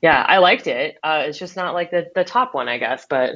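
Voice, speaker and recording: A woman hosts a podcast in a chatty, upbeat way, with loose fillers and easy laughter. Her voice sounds bright and sharp. The call audio comes through dull and lo-fi. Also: low voice, animated, moderate pace. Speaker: podcast host. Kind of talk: casual interview chat.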